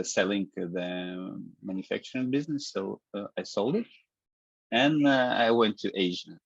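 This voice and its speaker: A man, a guest in an interview, talking in a halting way with many restarts and fillers. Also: on the low side, vocal fry, dry recording, dull audio. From Ukraine. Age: 30s. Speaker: guest, startup founder. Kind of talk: casual interview talk.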